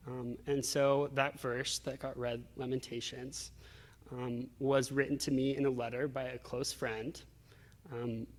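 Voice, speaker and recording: A man being interviewed, telling personal stories in a reflective, casual way with acted-out asides. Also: mid-range voice. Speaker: interview guest. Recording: reverberant hall-like echo.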